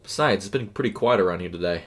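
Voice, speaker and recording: Male narrator as young fast food cashier, male, laid-back, offhand delivery, audio fiction. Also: low-pitched. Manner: offhand, casual.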